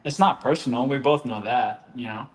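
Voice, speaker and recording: A man's voice: medium pitch, moderate pace. Rambling male fighter in an echoey room. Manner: joking, rambling, trails off quietly. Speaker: fighter, guest. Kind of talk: casual interview chat. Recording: echoey room, faint background noise.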